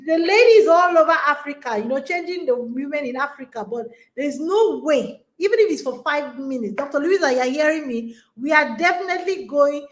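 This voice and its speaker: A woman's voice: high voice, animated, normal speed, few pauses. A woman in her forties hosting a conference and coaching women, talking emphatically and urging her listeners on. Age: 40s. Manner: emphatic and exhorting, with repeated rallying phrases. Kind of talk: motivational talk to an online audience.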